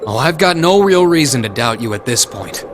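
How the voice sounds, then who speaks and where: Guy acting a young video game hero, earnest and stubborn, mid pitch, lots of swing, noisy behind him. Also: sing-song, normal speed, natural voice. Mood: curious, wry. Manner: earnest, emotive.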